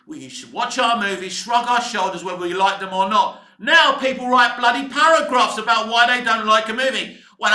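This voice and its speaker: An echoey room carries a very high, bright voice. It belongs to a British man in his fifties, ranting casually as a film vlogger. He is emphatic and exasperated, hammering his points over and over. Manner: emphatic, exasperated, swearing mildly, repetitive. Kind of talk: opinionated rant to camera, casual.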